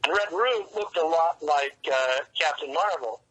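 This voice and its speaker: A man with a crisp, bright and slightly high voice talks as a guest in a casual interview. He explains things in a halting, meandering way. The sound is that of a telephone call. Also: lively intonation. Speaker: interview guest, comics writer and fan club organizer.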